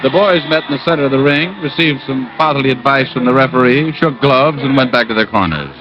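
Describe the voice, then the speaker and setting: A man's voice: medium pitch, quick pace, lightly acted. Muffled old-radio wisecracking detective played by a man. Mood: dry narration. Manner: dry, wry asides. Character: wisecracking private eye narrating his own case. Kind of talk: 1940s-50s radio detective acting and first-person narration. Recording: muffled old radio recording, music behind.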